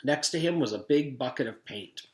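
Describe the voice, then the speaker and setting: A man's voice: medium pitch, lively intonation, normal speed, darkish tone. Man reading a storybook, roomy echo. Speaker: teacher reading aloud to his class. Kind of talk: storybook read-aloud with character voices.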